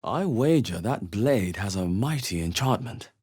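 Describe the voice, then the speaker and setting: An actor voicing a thoughtful, wary male adventurer in a fantasy video game, with a measured, musing delivery. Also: on the low side, animated, darkish tone, husky, dry recording, studio quality. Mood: thoughtful, guarded. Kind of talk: video game character voice lines.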